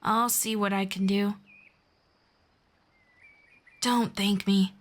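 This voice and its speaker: A woman playing a friendly, curious elf in a roleplay audio drama, speaking in a gentle, measured and formal way to one listener. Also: mid-range voice, steady tone, close to an everyday voice. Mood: reluctant, yielding.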